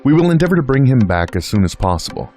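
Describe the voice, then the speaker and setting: A man playing an unruffled station continuity announcer in an audio drama, formal and measured. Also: mid-range voice, very animated, darkish tone, faint music behind, lightly acted. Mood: unruffled formality. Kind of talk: radio announcer pastiche.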